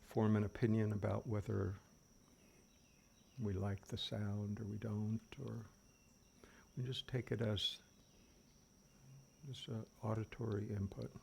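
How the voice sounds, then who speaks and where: A man leading an unscripted guided meditation as a teacher, speaking gently and unhurriedly with long pauses. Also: on the low side, gravelly. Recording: steady background noise of fans and birds. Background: fans, birdsong and insects.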